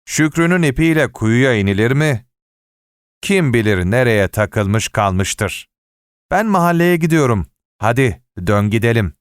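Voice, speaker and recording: A man voicing a friendly but bossy coal seller in an audiobook story, brisk and familiar. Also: mid-range voice, animated, clean tone, dry recording, clean studio sound, natural voice. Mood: dismissive, brisk. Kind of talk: audiobook character dialogue.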